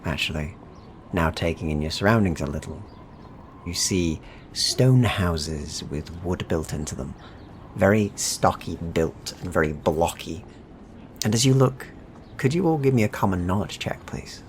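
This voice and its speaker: A man's voice: deep voice, expressive, unhurried, slight background noise. A man narrating a tabletop fantasy game as game master, in a descriptive, evocative storytelling manner.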